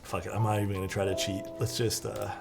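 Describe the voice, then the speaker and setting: A man's voice: on the low side, quick pace. A man with a soothing voice chatting casually on a live stream while he builds a keyboard. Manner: relaxed, chatty, reads viewer chat aloud and answers. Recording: clear background music under voice. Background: music playing and keyboard clacking.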